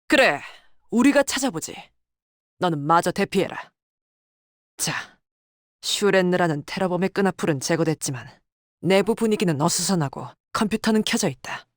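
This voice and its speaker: A woman performs a proud female tactician from a video game, stern and commanding. Her words come clipped and formal, with soldierly discipline at a middling pitch. The sound is close and very dry. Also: dry recording, natural voice. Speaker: game character voice. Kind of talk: dramatic scripted game dialogue. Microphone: close, dry studio microphone.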